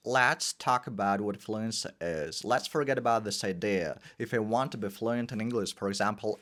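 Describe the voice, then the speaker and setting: Energetic man. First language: Russian. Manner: energetic, with sudden emphatic pitch jumps. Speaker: English teacher. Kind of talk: teaching monologue.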